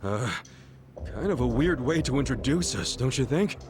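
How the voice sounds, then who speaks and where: An actor playing a blunt, good-hearted low-ranking yakuza in an English game dub, informal, halting and expressive. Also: male voice, background noise, lightly acted. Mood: puzzled.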